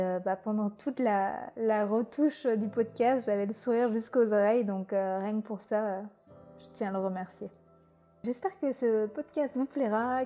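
A woman hosting a casual remote podcast interview, chatty and often pausing with hesitations. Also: mid-range voice, warm tone. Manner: chatty, with many hesitations and laughs. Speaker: podcast host. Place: home, remote call.

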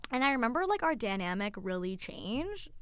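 A woman's voice: fairly high pitch, very animated, normal speed, slight background noise. A young woman on a podcast, talking reflectively in a casual way and leaning heavily on "like". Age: young adult. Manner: reflective, heavy use of "like". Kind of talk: casual group chat.

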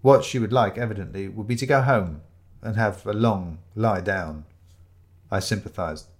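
A man narrating a scripted comic murder mystery in a wry, expressive storytelling manner. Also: lowish voice, normal speed.

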